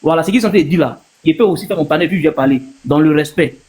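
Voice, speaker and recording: Fast-talking Ivorian man, higher-pitched voice. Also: a little mellow. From Ivory Coast. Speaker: panel guest on a live stream. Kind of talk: casual opinion talk.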